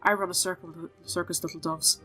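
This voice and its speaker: A woman's voice: fairly low pitch, steady tone, fairly quick, sharp tone. Game role: aloof otherworldly ringmaster read by a woman, male, theatrical, languid, condescending, faint music behind. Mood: scornful, aloof. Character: flamboyant, aloof male otherworldly circus showman.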